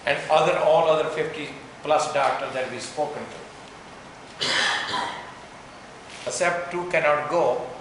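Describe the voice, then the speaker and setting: A man speaks at a press conference in a heavily echoing room, with steady noise in the background. His delivery is earnest and halting, and he keeps circling back to rephrase his points. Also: on the high side. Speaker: event organizer.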